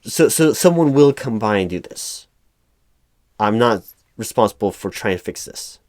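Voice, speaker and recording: A man playing a frustrated, flustered corporate recruiting manager in a one-sided roleplay, speaking in a halting, stammering, officious way. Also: on the low side, no room sound, studio quality, natural voice. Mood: frustrated, resigned. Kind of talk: one-sided ASMR roleplay, loud spoken.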